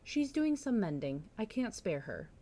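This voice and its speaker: A woman voicing the nosy, insistent sister of a village doctor in a storybook reading, brisk and pressing. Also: medium pitch, very animated, dark tone, pitched above the performer's own voice. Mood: innocently persuasive. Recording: noticeable background noise.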